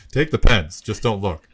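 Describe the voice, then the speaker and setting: A man's voice: fairly low pitch, fairly bright tone. A man bantering casually on a podcast panel with a wry, joking manner.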